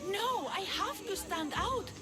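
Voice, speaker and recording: A woman's voice: very high voice, lively intonation, unhurried. A woman playing a desperate, lovestruck young maiden in a video game, earnest and emphatic. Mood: yearning, insistent. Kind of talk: video game cutscene acting.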